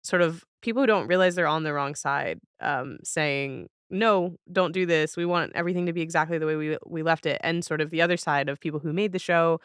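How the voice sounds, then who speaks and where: A woman's voice: sits low, a little fast, hardly any pauses, smooth, no room sound, studio quality. A woman hosting a casual podcast interview, speaking in a reflective, agreeable and informal way.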